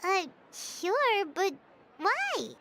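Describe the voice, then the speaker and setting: A woman's voice: extremely high pitch, very animated, very slow, bright, forceful tone, slight background noise, full character voice. A woman voicing a tiny, childlike, curious fairy companion in a video game. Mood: surprised. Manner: refers to self in third person, eager, inquisitive. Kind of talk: scripted video game voice acting.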